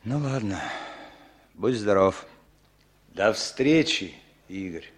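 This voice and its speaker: A man's voice: on the low side, lively intonation, takes it slowly. An actor playing an evasive, bitter long-lost older brother in a 1960s Soviet radio drama, emotional, repeating his words. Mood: resigned, bitter.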